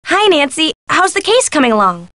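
A woman voicing a bubbly, supportive young friend of a teen sleuth in a video game, chirpy and excitable. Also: high voice, brisk, no room sound, clean studio sound. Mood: friendly. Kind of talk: video game character dialogue.

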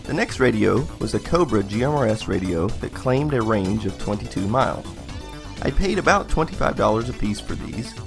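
A man's voice: lowish voice, animated, normal speed, vocal fry, music in the background. An American man narrating a scripted product test in a plain, methodical, matter-of-fact way. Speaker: product reviewer, channel host.

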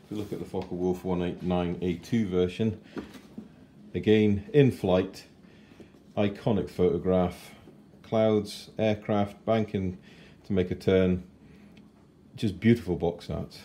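A man in his forties giving a chatty, unhurried model kit review at his kitchen table. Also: low-pitched, fairly even intonation, warm tone, slight background noise. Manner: chatty and unhurried, with fillers and self-corrections. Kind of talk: casual unboxing review.

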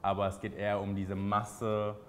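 A man in his twenties with a Berlin accent, answering interview questions in a relaxed, chatty way with many fillers. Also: fairly low pitch, moderate pace, a little hiss or hum. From Berlin, Germany.

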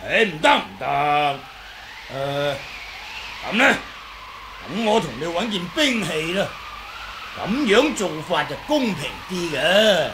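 An actor playing a boastful, wily, comical old martial artist in a Hong Kong Cantonese radio drama, chattering and teasing in drawn-out phrases. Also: male voice, sits high, very animated, background noise, exaggerated voice. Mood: scheming, playful. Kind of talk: 1980s radio drama acting.

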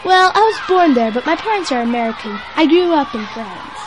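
A woman's voice: sits high, lively intonation, a little fast. A woman playing an easygoing, joking female school student in a casual scripted English lesson dialogue. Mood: easygoing, playful. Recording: noisy, with crowd sounds behind.

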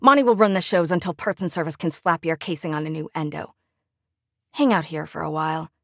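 A woman's voice: deep voice, a little fast, a bit bright, dry recording, clean studio sound. A young woman playing a stern, irritated night security guard in a horror video game, exasperated and sometimes sing-song when coaxing. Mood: threatening.

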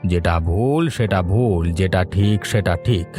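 A man's voice: low voice, measured pace. A man playing a concerned, principled older village uncle in a Bengali cartoon, speaking in an earnest, rustic, familiar way. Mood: earnest, indignant. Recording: music behind the voice.

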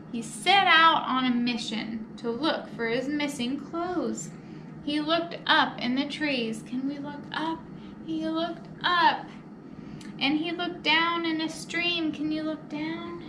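A young woman hosting storytime, reading a picture book aloud to children and addressing young listeners with simple questions. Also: sits high. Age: young adult. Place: indoor children's library room. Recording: roomy echo, clear background noise.

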